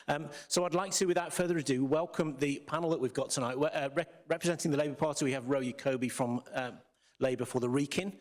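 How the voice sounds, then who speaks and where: A man hosts a business debate in a theatre, talking quickly and keeping things moving. His pitch sits in a middle range, and he sounds brisk, organised and friendly as he sets out the rules and introduces each candidate. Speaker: debate host. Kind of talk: hosting a hustings debate. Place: theatre with audience.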